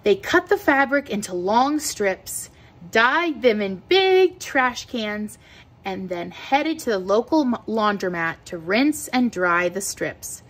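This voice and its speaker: A woman reading a children's picture book aloud with expressive, deliberate storytelling delivery. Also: medium pitch. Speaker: read-aloud teacher.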